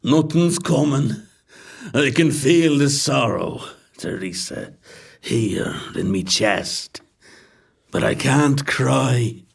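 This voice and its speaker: Man acting a gravelly, despairing father, very slow. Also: medium pitch, voice pushed up, heavily acted. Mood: strained despair. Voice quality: rough, creaky, husky. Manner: slow, flat, halting. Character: despairing working-class father, flat and grief-choked.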